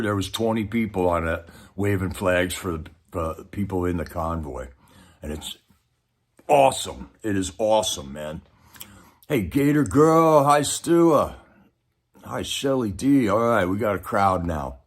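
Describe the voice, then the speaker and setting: A man hosting a livestream with casual, rambling, folksy commentary, speaking straight to his viewers. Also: fairly low pitch. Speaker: livestream host.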